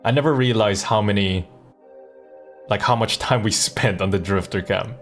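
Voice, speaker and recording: A man's voice: lowish voice, normal speed, soft background music. A man giving casual, amused game livestream commentary, rambling and repeating words as he thinks aloud. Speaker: live streamer and voice actor.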